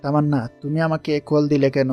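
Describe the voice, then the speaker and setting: A woman's voice: low-pitched, little pitch movement, brisk, mellow, faint music behind, close to an everyday voice. A woman voicing a reserved, doubtful young man in an audio love story. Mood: puzzled, doubtful.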